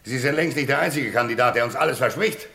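Gravelly, forceful, stern official. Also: male voice, mid-range voice, fast. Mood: dry sceptical. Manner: curt, stern, authoritative. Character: stern, impatient, commanding male town official. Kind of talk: German crime radio play acting. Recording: roomy, echoing radio play sound.

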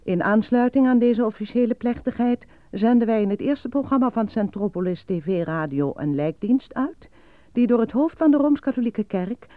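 An actress voicing a formal, matter-of-fact state broadcast announcer reading grim bulletins in a radio play. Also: female voice, medium pitch, no echo, close to an everyday voice. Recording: dry, dull lo-fi radio recording.

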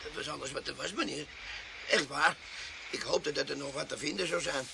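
Radio play casting: a boastful, whining vagrant war veteran, highish creaky voice, pleading and stammering, faint noise on the recording. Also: male voice, fairly quick. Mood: self-pitying. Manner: stammering, pleading, self-pitying. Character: shifty, whining male tramp, boastful self-styled war veteran. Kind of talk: radio drama acting.

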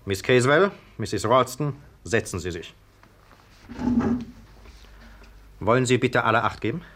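Stern, insistent police sergeant. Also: male voice, medium pitch, expressive, moderate pace, fairly bright tone. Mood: stern, official. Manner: commanding, clipped, insistent. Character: stern, suspicious police sergeant interrogating suspects. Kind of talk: 1950s radio drama acting.

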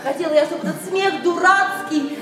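An actress playing a sly, teasing young maid with a giggly, playful delivery. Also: female voice, extremely high pitch, fairly quick, far from the mic. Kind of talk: classic stage verse acting. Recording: reverberant hall from afar.

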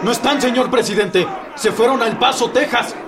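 A man playing an excitable revolutionary soldier in a radio drama. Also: very high-pitched, moderate pace. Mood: agitated. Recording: loud crowd noise behind.

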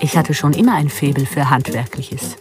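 Actress as composed killer mother, radio play monologue. Deep female voice, measured delivery over music. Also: natural voice. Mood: cool, matter-of-fact. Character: composed contract killer, devoted mother, coolly matter-of-fact. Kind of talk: first-person radio drama monologue.

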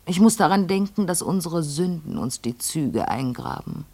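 A vain intense lady in a radio drama, breathy n gravelly, very theatrical about getting old. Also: deep voice, lively intonation, normal speed, mellow, exaggerated voice. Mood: pleading, brooding. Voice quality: husky, breathy, creaky. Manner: theatrical, intense, addressing a servant. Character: vain, obsessive lady fearful of ageing. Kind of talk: literary German radio play monologue.

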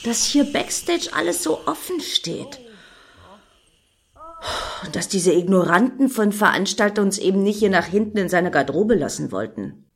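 An actress in a radio play voicing an excitable, obsessive female fan who muses dreamily and justifies herself as she rambles. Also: medium pitch, moderate pace, a crowd in the background. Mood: hushed awe.

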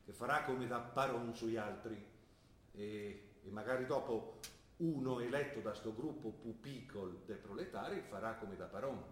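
A man's voice: mid-range voice, normal speed, far from the mic, slight background noise, close to an everyday voice. An actor playing a skeptical older father, a plain-spoken shopkeeper questioning his daughter. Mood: challenging. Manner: earnest, probing, plain-spoken. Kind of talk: stage play acting. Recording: roomy stage recording, voice from afar.

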